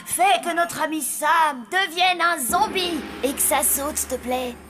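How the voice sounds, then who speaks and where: An actress voicing a confident, cheeky zombie child in an animated cartoon, casual and self-assured as the scheming ringleader. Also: female voice, high voice, a little slow, background noise. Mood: mock solemn. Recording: loud music behind the voice.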